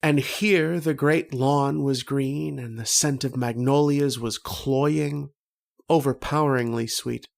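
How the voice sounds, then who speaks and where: An actor playing a tormented, homesick man trapped in a dream, brooding and halting in an old-time radio horror drama. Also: mid-range voice, fairly even intonation, creaky. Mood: brooding, dreamy. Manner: brooding, halting, poetic delivery.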